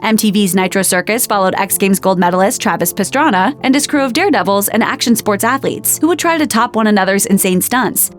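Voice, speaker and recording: A woman's voice: mid-range voice, lively intonation, fairly quick, few pauses. Female narrator, mid-range voice, scripted documentary read over light music bed. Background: background music.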